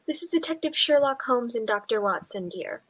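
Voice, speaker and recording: Woman acting a high-voiced, sharp-tongued innkeeper. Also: animated. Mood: businesslike. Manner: brisk, scolding, disapproving. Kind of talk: radio drama acting.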